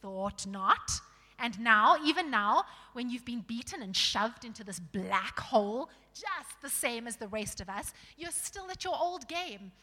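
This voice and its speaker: Loud, surly male dwarf acted by a woman, echoing. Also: fairly high pitch, expressive, a little slow, heavily acted. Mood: indignant. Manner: colloquial, blustering, indignant.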